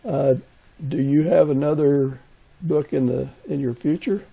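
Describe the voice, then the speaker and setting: A man's voice: fairly high pitch, a little slow, creaky, husky, highs cut off. A man from the United States hosting a conversational interview podcast.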